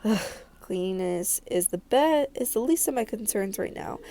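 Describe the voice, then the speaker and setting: Mellow woman reading a visual novel aloud. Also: medium pitch, lively intonation, moderate pace, a little hiss or hum. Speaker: gaming video creator reading a visual novel. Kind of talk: dramatic read-aloud narration with casual asides.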